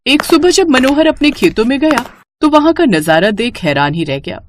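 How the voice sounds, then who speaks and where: Female narrator for cartoon moral tales; highly animated, expressive delivery, faint background noise. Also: normal speed. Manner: expressive storytelling. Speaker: story narrator.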